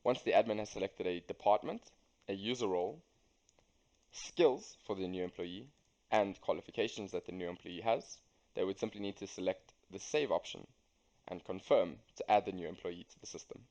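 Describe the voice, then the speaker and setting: A young man with a low-pitched voice narrates a software walkthrough. He reads from a script, step by step, as a student presenting a system. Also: normal speed, low-quality recording. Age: young adult.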